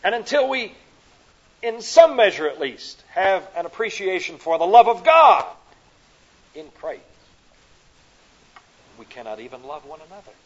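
A man from the American Midwest with a Midwestern accent, preaching a sermon at a conference, rising to loud emphatic peaks and dropping to soft, slow phrases. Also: sits high, very animated. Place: conference hall. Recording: dull lo-fi recording.

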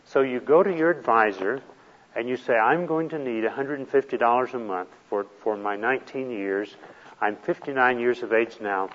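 A man's voice: mid-range voice, moderate pace, in a large hall, lo-fi sound. A man teaching a retirement planning class, explaining step by step in a patient, plain way. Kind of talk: classroom lecture.

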